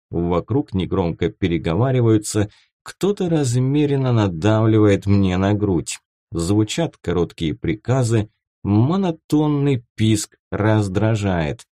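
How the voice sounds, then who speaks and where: A man narrating first-person fiction from a script as an audiobook, with a dramatic, expressive delivery. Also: on the low side, dry recording, clean studio sound.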